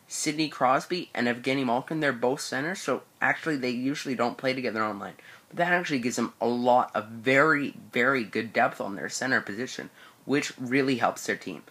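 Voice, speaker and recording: Steady teen boy reciting stats. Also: medium pitch. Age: teens. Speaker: co-host. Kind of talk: prepared hockey analysis.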